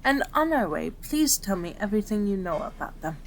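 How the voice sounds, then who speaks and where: A woman's voice: mid-range voice, big pitch swings, fairly quick, slight background noise. A woman playing a cute, caring fox-spirit doctor in an ASMR roleplay, prim, chiding and easily flustered. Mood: serious, concerned.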